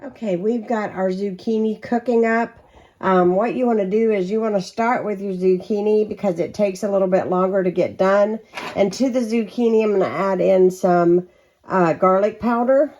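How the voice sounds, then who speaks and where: Casting: slightly hoarse woman, forties, rambling home-vlog chatter, middle pitch. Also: normal speed, a little mellow, highs cut off. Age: 40s. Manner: chatty, rambling, many ums. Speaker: home vlogger. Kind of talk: casual catch-up and cooking chat.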